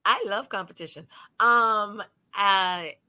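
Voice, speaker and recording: A woman's voice: medium pitch, slow, clear, resonant tone. A woman lawyer from New York speaking on a panel, telling stories animatedly and acting out what people said. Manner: animated storytelling, acts out quotes. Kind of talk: panel discussion.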